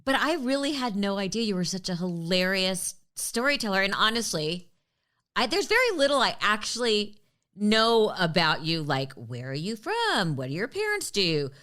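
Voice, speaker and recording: A woman's voice: mid-range voice, sing-song, moderate pace, fairly bright tone. A woman hosting a podcast, introducing and interviewing a guest in a conversational style. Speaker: podcast host.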